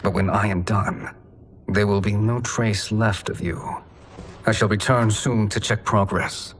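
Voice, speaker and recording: Man playing a cold, low-voiced evil sorcerer. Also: fairly even intonation, a little hiss or hum, natural voice. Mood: smug. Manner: formal, menacing, measured phrasing. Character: cold, gloating male evil sorcerer, cruelly composed. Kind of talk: video game cutscene acting.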